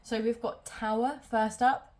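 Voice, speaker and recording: A woman's voice: a little high, fairly even intonation, unhurried, a little mellow. A woman doing a casual tarot reading at home, sounding nasal from a cold and rambling with many ums. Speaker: tarot reader.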